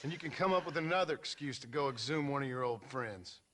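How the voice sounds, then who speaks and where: An actor playing a tough, impatient western gunslinger in a video game, with an American accent and a dry, blunt, sarcastic manner. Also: male voice, medium pitch, quick pace, fairly bright tone, lightly acted. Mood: sardonic. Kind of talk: video game cutscene acting.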